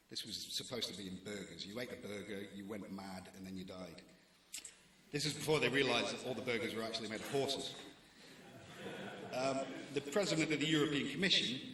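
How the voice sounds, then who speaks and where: Scottish man, 40s, wry speaker, bright voice, roomy echo. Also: fairly low pitch, slight background noise. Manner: dry, wry storytelling with comic timing. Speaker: newspaper deputy editor giving a talk. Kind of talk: prepared speech to students.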